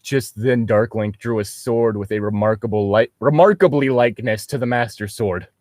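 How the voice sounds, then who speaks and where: A man's voice: lowish voice, normal speed, darkish tone, no room sound, studio quality. A young man mockingly reading fan fiction aloud and breaking off for sarcastic, sweary commentary. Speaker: reader and co-host.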